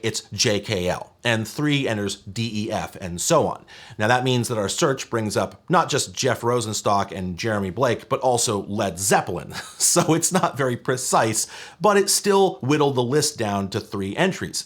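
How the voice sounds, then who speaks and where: A man narrates a tech explainer with very lively, swinging intonation. His pitch sits in a middle range. He is emphatic and wry, giving his opinions plainly as he walks through details. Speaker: presenter of a retro tech review channel. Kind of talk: semi-scripted explainer video narration.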